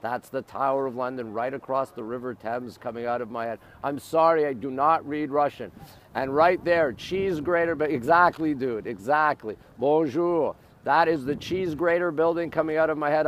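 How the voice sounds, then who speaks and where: Higher-voiced North American man, live-streaming. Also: slight background noise. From Montreal, Canada. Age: middle-aged. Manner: exclamatory, repetitive, greeting viewers in short bursts. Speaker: live-stream host showing city sights. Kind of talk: casual live-stream chat. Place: outdoors on an urban riverside.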